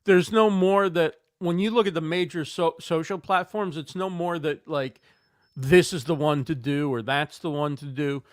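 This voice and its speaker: Male interview guest, somewhat high and smooth, slightly hoarse, halting and thoughtful. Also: no echo, clean studio sound. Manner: thoughtful, with frequent fillers and repeated words. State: slightly losing his voice. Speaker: guest expert on livestreaming. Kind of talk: conversational interview answers.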